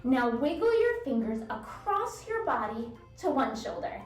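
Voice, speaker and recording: High, very animated woman leading children's story time. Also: a little slow. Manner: animated, singsong, instructing young children. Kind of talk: children's read-aloud and movement lesson. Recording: roomy echo, distant mic, music bed. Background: music.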